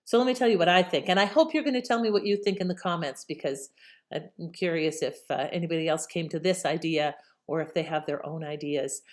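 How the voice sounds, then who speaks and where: A woman's voice: sits low, fairly quick. A woman hosting with casual, inquisitive commentary addressed to viewers. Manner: conversational, inquisitive. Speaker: channel host.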